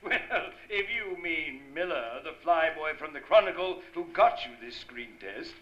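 An actor in an old-time radio drama playing a sleazy, menacing Hollywood producer with a smug, condescending manner. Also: male voice, on the high side, lively intonation, a little slow, a bit bright, muffled recording. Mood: mocking. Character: sleazy, menacing Hollywood film producer preying on a young actress.

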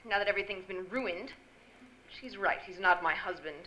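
A woman's voice: mid-range voice, normal speed, bright, forceful tone, natural voice. A woman playing a vain, vengeful fallen actress, a scheming villainess in an old-time radio drama, theatrical and biting. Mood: smug, bitter. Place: stage before a live audience. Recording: echoey live stage, faint noise.

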